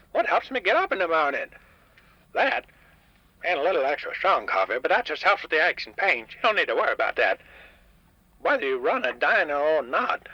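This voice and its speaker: A crotchety, folksy old diner owner from a comedy radio drama, acted by a man. The voice is sharp and bright with big swings in pitch, heard through a slightly roomy radio sound. He is gruff but warm-hearted underneath. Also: dull audio, heavily acted. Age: older. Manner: grumpy, folksy, hesitant phrasing.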